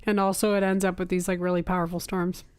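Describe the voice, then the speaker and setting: A woman's voice: lowish voice, level tone, fast, clear, resonant tone, dry recording. A woman, a teacher co-hosting a podcast, chatting casually and thinking aloud, her voice worn from teaching.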